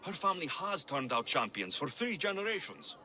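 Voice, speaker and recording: An actor playing a young, skeptical Greek mercenary hero in a video game, wry and matter-of-fact. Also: male voice, on the high side, very animated, fairly quick, bright, forceful tone, heavily acted. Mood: earnest. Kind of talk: video game dialogue acting.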